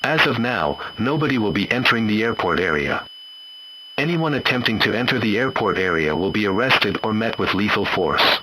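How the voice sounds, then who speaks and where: A man's voice: lowish voice, animated, moderate pace, pushed and bright, poor audio. A man playing a stern official announcer reading an emergency broadcast message. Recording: faint hum over radio-style alert.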